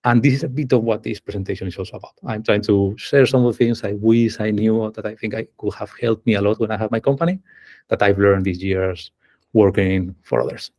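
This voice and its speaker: A man's voice: on the low side, fairly quick, a little mellow. A man from Spain with a Spanish accent, an engineering manager giving an online presentation over a video call and explaining step by step while putting questions to his audience.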